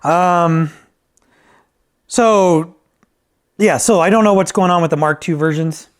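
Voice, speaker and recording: A man's voice: on the high side, takes it slowly, clear, resonant tone. A man talking casually through an unscripted product review, rambling and correcting himself, often checking in with "right?". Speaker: reviewer and repairer of vintage tape decks.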